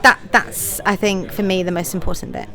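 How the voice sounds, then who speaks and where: A woman's voice: medium pitch, steady tone, normal speed, fairly bright tone. A middle-aged woman, a pantomime writer, director and actor, answering interview questions in a chatty, effusive way and laughing easily.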